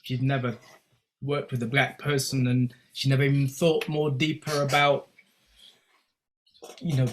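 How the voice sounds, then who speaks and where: A man's voice: medium pitch, little pitch movement, measured pace, pauses often, low-quality recording. Man, panelist, hesitant, repeats words, faint background noise. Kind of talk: personal account on a panel. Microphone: video call.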